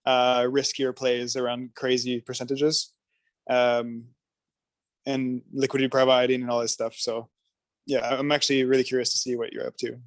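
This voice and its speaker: Male meeting participant, informal, mid pitch, little variation, halting delivery. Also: level tone, dry recording. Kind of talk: casual self-introduction.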